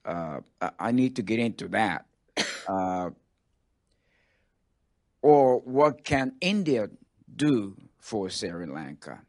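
Slow, halting Japanese-accented man. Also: lowish voice, very animated, a little mellow. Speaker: audience member asking questions. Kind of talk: spontaneous question from the floor.

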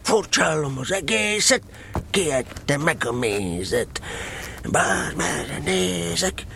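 An actor playing a slow, cautious bear who loves honey, ponderous and hesitant with many ums. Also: male voice, a little high, sing-song, gravelly, pushed and bright, slight background noise, heavily acted. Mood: singing softly. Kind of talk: radio play acting.

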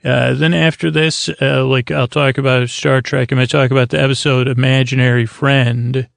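Creaky, meandering man hosting a bedtime podcast. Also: medium pitch, level tone, no echo. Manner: meandering, long-winded, halting; gentle, friendly, lulling. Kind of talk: rambling soothing bedtime monologue.

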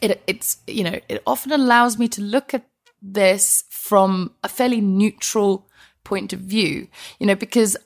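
Creaky-voiced Icelandic woman chatting as a guest. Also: mid-range voice, steady tone, unhurried. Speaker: interview guest, transport historian. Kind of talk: conversational podcast interview.

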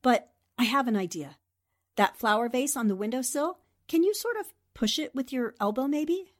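An actress playing a resolute, quick-witted newspaperwoman in a 1940s-style radio drama. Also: high-pitched, expressive. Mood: urgent, resolute. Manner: decisive, urgent instructions. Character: Anne Rogers, quick-thinking, resolute newspaperwoman heroine.

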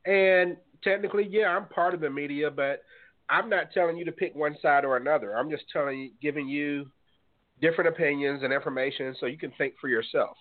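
A man hosting a call-in radio talk show, speaking in a measured, earnest way with some fillers and sounding a little stuffed up from a cold. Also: fairly high pitch. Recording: tinny phone-line sound.

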